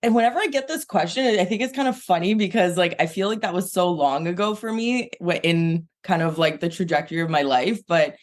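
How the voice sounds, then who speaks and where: A woman's voice: fairly low pitch, brisk, hardly any pauses. A woman in her thirties, born in Iran, chatting casually as a podcast guest, talking over a remote call and leaning on lots of "like" and "kind of" fillers. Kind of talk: casual conversation.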